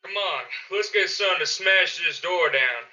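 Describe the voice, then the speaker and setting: A man's voice: sits high, animated, measured pace, noisy background. A man playing a hot-headed suburban neighbor in a panicked radio drama. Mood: angry, threatening. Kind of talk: radio play acting. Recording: dull lo-fi audio.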